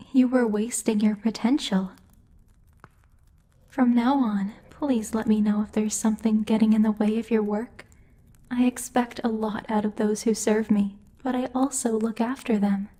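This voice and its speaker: A woman playing a commanding demon lord in an ASMR roleplay, speaking in a measured, imperious and teasing way, like a strict but protective boss. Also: on the high side, mellow. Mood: sincere, reassuring.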